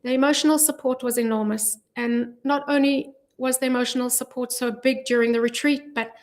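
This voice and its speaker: A middle-aged woman speaking as a guest in a radio studio interview, earnest and measured, with frequent ums, on a studio microphone. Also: on the high side, fairly even intonation. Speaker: guest, charity volunteer. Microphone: studio mic with headphones.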